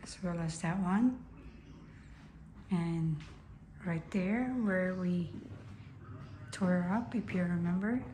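A woman walking through a room being renovated, chatting in a halting way and cheering on her husband's work, filming on her phone. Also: fairly low pitch, mellow. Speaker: vlogger showing home renovation. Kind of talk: casual home renovation walkthrough. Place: bare room under renovation, indoors. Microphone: phone held while filming. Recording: echoey empty room with background noise.

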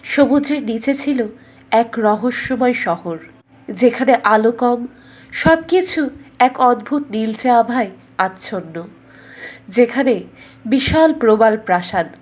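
A woman storyteller narrating a scripted fairy tale in a measured, dramatic way. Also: fairly high pitch, expressive, darkish tone.

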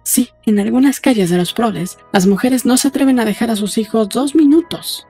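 A woman playing a brisk, practical young woman in an audiobook drama, talking in a matter-of-fact, conversational way. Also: a little high, dark tone, slightly rough, soft background music. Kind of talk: audiobook dialogue acting.

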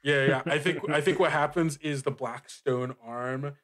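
A man speaking as game master, improvising narration and rulings, halting as he thinks aloud. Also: medium pitch, steady tone, fairly bright tone. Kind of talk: improvised tabletop game narration and casual table talk.

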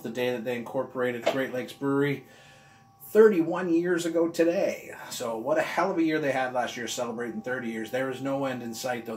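A man's voice: medium pitch, slight background noise. Enthusiastic man in his forties, casual reviewer, recorded in a roomy, echoey space. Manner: enthusiastic, chatty, talks with his hands. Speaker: beer reviewer. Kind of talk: casual beer review vlog. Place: room with walls of beer bottles, indoors.

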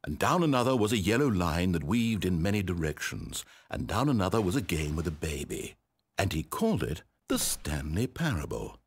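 A man's voice: sits low, big pitch swings, moderate pace, slightly rough, heavily acted. A man with a British accent playing a wry, condescending, controlling narrator in a game, eloquent and theatrical. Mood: wistful storytelling. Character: wry, exasperated, controlling male storyteller narrator. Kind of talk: theatrical scripted storybook narration.

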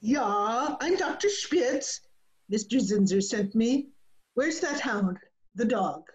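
A woman's voice: on the high side, animated, slow. A woman playing a brusque, odd dog doctor with stagey, clipped phrasing in an old-time radio comedy, on a muffled video call. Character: brusque, cackling quack dog vet Doctor Spitz.

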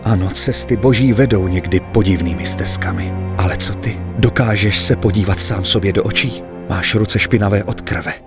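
A man's voice: on the low side, close to an everyday voice. Man playing a solemn middle-aged priest, music behind. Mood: grave, reproachful. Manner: solemn, deliberate. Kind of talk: dramatic game cutscene dialogue. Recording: prominent music under the voice.

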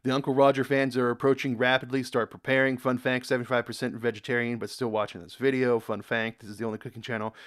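A man's voice: medium pitch, little pitch movement, fast talker, hardly any pauses, a bit bright, smooth. A young man from the United States hosting a casual reaction video, rambling, repeating words and reading comments aloud from a dim room.

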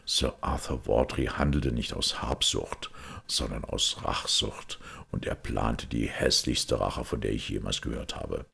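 Guy doing a sombre priest detective, super low and really husky, measured audiobook talk. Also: bright, forceful tone, exaggerated voice. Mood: explaining. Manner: measured, reflective explaining. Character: grave, thoughtful priest detective, calm and sombre. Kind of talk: audiobook character acting.

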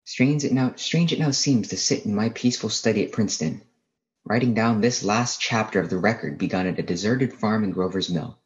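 Young man acting solemn low-voiced professor, muffled sound. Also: lightly acted. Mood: solemn, reflective. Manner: measured, solemn delivery. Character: reflective male professor, a weary survivor of catastrophe, narrating. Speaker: actor in a drama club radio play. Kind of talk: 1930s radio drama narration. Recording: muffled, band-limited radio-play sound.

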